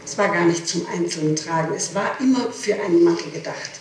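A woman is being questioned, and she answers in an explanatory, insistent way, often repeating herself. Her voice has a medium pitch and sits far from the microphone in a very echoey room. It is an old tape with some hiss. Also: a little fast. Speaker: person being questioned by a prosecutor. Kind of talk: answering questions in a formal interrogation. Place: reverberant room, interrogation recorded on tape. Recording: echoey archival tape, distant mic, hiss.

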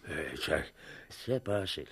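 A man's voice: a bass voice, very animated, a bit bright, breathy edge, exaggerated voice. An actor playing a drunken man who has gone blind, rambling in a slurred, despairing way in a 1960s radio drama. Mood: drunken bitter. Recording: vintage radio broadcast.

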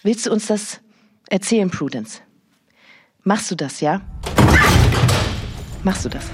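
Earnest, pathos-laden TV reporter. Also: female voice, medium pitch, expressive, slow pace, darkish tone, breathy edge. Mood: coaxing. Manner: solemn, rhetorical. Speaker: television reporter. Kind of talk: radio drama acting, on-camera reportage.

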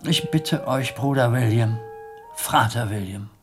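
A man's voice: medium pitch, unhurried, music in the background, natural voice. A man playing a worried, guarded medieval abbot in a radio play, speaking solemnly and formally with evasive hesitations. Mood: evasive, troubled.

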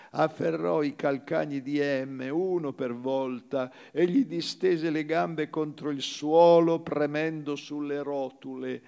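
A man giving a dramatic literary reading as an actor, deliberate and measured, with weighty pauses. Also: mid-range voice, a little mellow. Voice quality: gravelly, creaky. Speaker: actor reading a short story aloud. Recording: long reverberant hall sound.

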